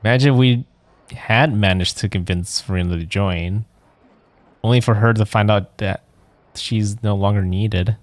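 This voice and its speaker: A man's voice: lowish voice, unhurried. A man streaming a playthrough with casual, off-the-cuff commentary. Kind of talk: casual let's play commentary.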